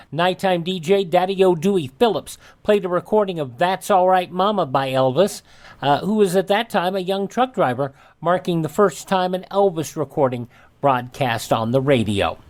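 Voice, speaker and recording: An American man hosting a radio program, reading scripted narration with brief off-the-cuff asides. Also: sits high. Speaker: radio show host.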